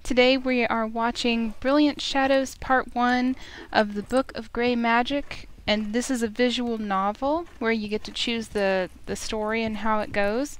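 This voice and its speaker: A woman's voice: fairly high pitch, steady tone, a little slow, clear, resonant tone, low-quality recording. A woman streamer narrating a visual novel, reading the story aloud. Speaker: streamer, reader.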